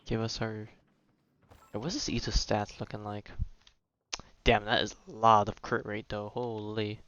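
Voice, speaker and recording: A man's voice: on the low side, little pitch movement, unhurried, fairly bright tone, clear, resonant tone, muffled recording. A man giving casual live gameplay commentary as a streamer, slangy and rambling as he thinks aloud. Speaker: game streamer.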